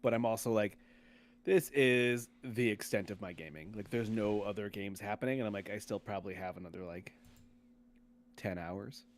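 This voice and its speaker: A man's voice: lowish voice, normal speed. Male podcast host, informal and opinionated, casual gamer talk with plain-spoken slang. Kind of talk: casual chat about games.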